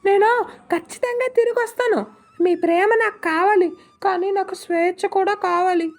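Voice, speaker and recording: Cheeky girl parrot for a cartoon story, super high voice, bit of music behind. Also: steady tone, moderate pace. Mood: delighted. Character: cheeky, outspoken female pet parrot who loves freedom. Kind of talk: animated moral-story voice acting. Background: faint music.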